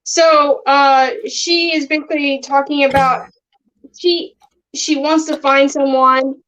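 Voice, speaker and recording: A young woman with a very high voice talks casually as a podcast co-host. She is earnest and hesitant, often stopping and restarting her sentences. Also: steady tone, slow, a bit bright, low-quality recording. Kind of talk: casual chat.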